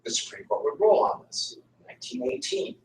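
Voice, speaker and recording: A man's voice: sits high, wildly swooping pitch, quick pace, slight background noise. A male history teacher giving a conversational classroom lecture, repeating key points for emphasis. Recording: echoey room, mic far off.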